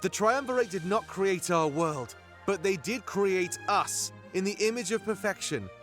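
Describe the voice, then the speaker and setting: An actor playing a fervent rebel leader in a fantasy video game, giving grandiose, oratorical speeches. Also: male voice, high voice, expressive, measured pace, fairly bright tone. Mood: earnest, rousing. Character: fervent male rebel leader, an anthropomorphic animal rallying his fighters. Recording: music behind the voice. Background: music.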